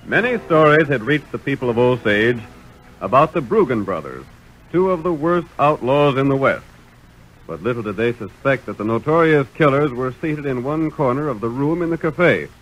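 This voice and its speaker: A man's voice: mid-range voice, animated, normal speed, fairly bright tone, low-quality recording. A man with an American accent narrating an old-time radio western in a dramatic, stately style. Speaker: announcer and narrator. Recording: vintage radio recording.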